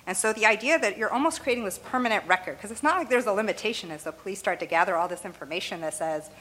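Woman, native Arabic speaker, interview guest, conversational, chatty fillers, medium pitch. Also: fast, low-quality recording. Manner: lively, talks with "you know" and "right?", laughs lightly. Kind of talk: conversational explaining.

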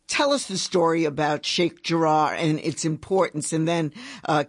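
A man's voice: a little high, dry recording, clean studio sound. A man interviewing a guest, asking direct follow-up questions.

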